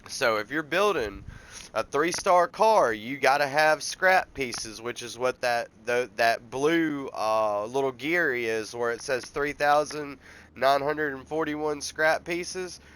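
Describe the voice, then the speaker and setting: A man's voice: mid-range voice, slow, a bit bright, clear, resonant tone. A man, congested from a cold, casually walking through a car-repair game step by step in a rambling, folksy way. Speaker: gameplay tutorial host.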